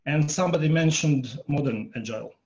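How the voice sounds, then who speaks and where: A man in his fifties, a native Croatian speaker, giving a workshop talk on a video call from home, pausing often with fillers. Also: a little high, a little mellow, muffled recording. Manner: halting, many filler pauses, polling the audience. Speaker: workshop presenter. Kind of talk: online conference talk. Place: home room. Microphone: earphone headset on a video call.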